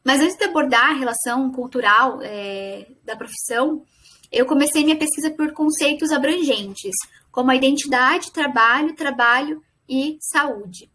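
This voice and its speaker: Female student presenter, young, Brazilian accent, high register, explanatory delivery with self-corrections. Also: moderate pace, sharp tone. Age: young adult. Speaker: student presenting a final project. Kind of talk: academic presentation.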